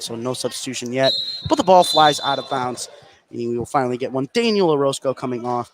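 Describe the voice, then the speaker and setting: A man giving excitable live play-by-play radio commentary on a basketball game, his voice rising on big plays. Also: medium pitch. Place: live at a high school basketball gym. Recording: clear background noise, gym ambience.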